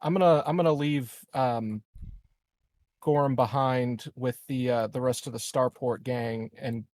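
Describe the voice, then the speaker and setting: A man's voice: medium pitch, fairly even intonation, unhurried, darkish tone, no room sound, studio quality. A man playing a tabletop game, talking casually and bluntly as he works out his moves. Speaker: tabletop RPG player.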